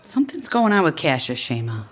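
A woman's voice: sits low, very animated, a little fast, breathy edge, exaggerated voice. A middle-aged woman playing a frank, concerned family friend in a naturalistic audio drama. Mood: concerned, blunt. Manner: plainspoken, frank. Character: husky older female family friend, concerned, blunt.

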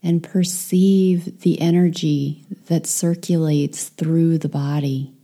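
A woman leading a guided meditation, speaking softly and soothingly, with some phrases whispered. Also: deep voice, steady tone, slow pace.

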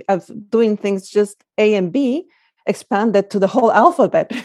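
Mellow-voiced woman from Guatemala. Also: medium pitch, dry recording, clean studio sound. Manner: thoughtful, with frequent hesitations. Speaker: guest, life coach. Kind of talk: conversational interview answers.

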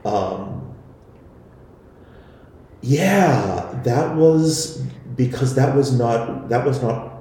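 A man's voice: mid-range voice, lively intonation, extremely slow, pauses often, dark tone. A man being interviewed about his podcast, talking hesitantly with many fillers and restarts. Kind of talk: casual interview answers. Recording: very echoey room.

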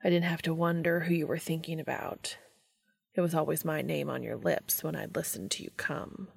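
A woman voicing a commanding, tender adult man in a romantic audio story, speaking low, slow and often in a whisper. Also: gravelly, breathy edge, full character voice. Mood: hushed, commanding.

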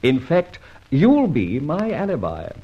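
An Australian actor playing a suave, playful gentleman thief in a 1950s radio drama, brisk and theatrical. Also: on the high side, wildly swooping pitch, breathy edge, exaggerated voice. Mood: scheming, playful. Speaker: lead character. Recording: dull old radio recording, faint hiss.

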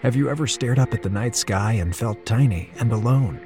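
A man's voice: mid-range voice, brisk, over music. A man reading a scripted podcast promo in the style of a mock medical advert. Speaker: promo announcer.

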